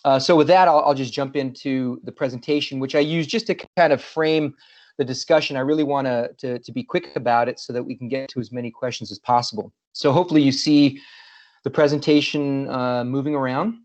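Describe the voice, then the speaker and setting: A man's voice: mid-range voice, steady tone, fairly quick, darkish tone, highs cut off. A middle-aged man from California, giving a friendly, casual presentation as a guest speaker and often restarting his sentences. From California, USA. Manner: friendly, with many restarts and repeated words. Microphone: video call.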